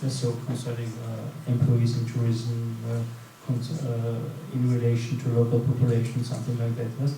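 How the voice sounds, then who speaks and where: A man's voice: medium pitch, monotone, moderate pace, hardly any pauses, mellow. A man, answering as a panelist with many restarts and fillers. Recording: echoey hall, distant mic, faint noise.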